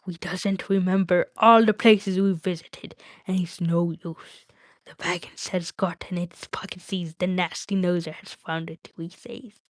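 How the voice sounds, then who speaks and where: A woman's voice: on the low side, steady tone, a little slow, no echo, natural voice. A woman voicing a wretched, suspicious cave creature in a storybook, muttering to itself in a whispery hiss with repetitive baby-talk grammar. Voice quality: whispery, hissing.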